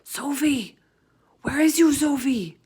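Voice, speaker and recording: A woman plays a gentle male giant in a storybook. He is earnest, innocent and kindly, speaking with a dignified air in quaint, ungrammatical turns of phrase. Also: sits high, animated, takes it slowly, pitched above the performer's own voice. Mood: anxious whisper. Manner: earnest, moralising, ungrammatical speech. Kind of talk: storybook read-aloud character voice.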